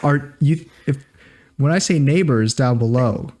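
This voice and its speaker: A man with a mellow, relaxed voice at an average pitch hosts a casual podcast. He chats in a joking, teasing way and makes his points with mock earnestness. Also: a little slow. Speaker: podcast host. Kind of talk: casual comedic chat.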